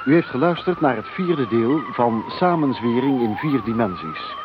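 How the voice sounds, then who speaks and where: A male announcer delivers scripted radio drama credits. His mid-range voice sounds muffled on the recording. Music plays clearly behind him. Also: mid-range voice, expressive, moderate pace.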